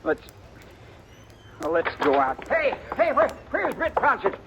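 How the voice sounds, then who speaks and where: A man's voice: very high-pitched, wildly swooping pitch, unhurried, noisy background, heavily acted. A man acting an earnest, polite, nervous young townsman with a hesitant stammer in a 1950s radio Western. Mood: nervous, urgent. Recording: muffled old radio recording.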